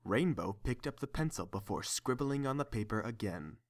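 A man's voice: mid-range voice, animated, normal speed. A man reading scripted third-person story narration as the narrator of an audio drama.

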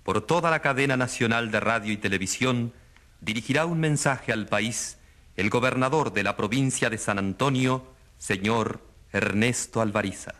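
A man's voice: mid-range voice, moderate pace, a bit bright, close to an everyday voice. An actor playing a radio newsreader, a man announcing a disaster with grave, clipped urgency in a dramatized bulletin. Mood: formal. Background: music.

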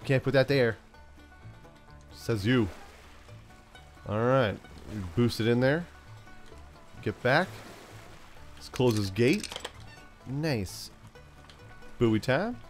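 A man hosting a gaming channel, chatting playfully through a let's-play, stuffed up from a cold and now and then yelling out excited bursts. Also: mid-range voice, slow, long pauses, faint music behind. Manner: playful, talks to himself, yells bursts like "send it". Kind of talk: casual let's-play commentary.

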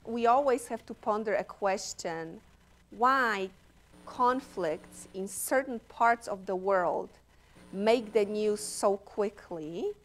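A woman speaking as a professor on a panel, thoughtful and measured, pausing often. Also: medium pitch. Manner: measured, thoughtful, slow with pauses. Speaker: panelist, political science professor. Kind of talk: panel discussion answer. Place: stage at a law school panel table.

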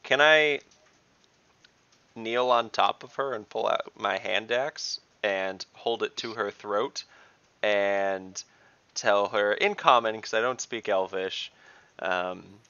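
A man's voice: on the low side, takes it slowly, long pauses, bright, forceful tone, smooth, no echo. A young man player on a headset who is precise about the rules of the game. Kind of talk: casual tabletop game play. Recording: dull, dry video-call audio.

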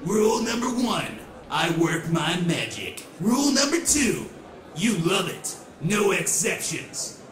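A man's voice: high voice, big pitch swings, slow, roomy sound, crowd noise, heavily acted. A man voicing a cocky, wild, green-faced trickster rock showman in a comic book dub, hammy and theatrical. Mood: showboating. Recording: loud music behind the voice. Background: loud music.

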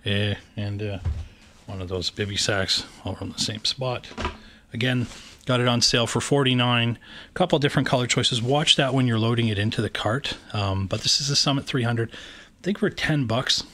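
A man's voice: medium pitch, moderate pace, a bit bright. A Canadian man talking casually and hesitantly, with many fillers, while unpacking and reviewing camping gear indoors on a handheld camera. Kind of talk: casual unboxing commentary. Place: indoors, room with wooden floor. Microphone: handheld camera pointed down.

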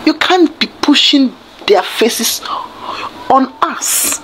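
A woman's voice: high-pitched, animated, slow pace, a bit bright, a little hiss or hum. A woman, speaking with indignant exasperation in a commentary clip played within the video.

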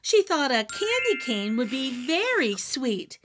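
Very high, animated woman reading a storybook. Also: a little slow. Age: middle-aged. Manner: animated, sing-song delivery for young children. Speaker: children's book read-aloud host. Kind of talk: expressive rhyming storybook narration. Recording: music behind the voice.

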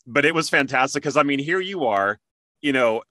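Bright-voiced man hosting an interview. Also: mid-range voice, animated, normal speed, no room sound, clean studio sound. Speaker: interview host. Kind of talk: casual interview.

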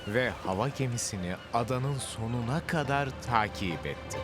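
A man narrates a children's cartoon tale with an animated, swooping delivery. His voice sits at a middle pitch, and loud music plays underneath. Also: normal speed. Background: background music.

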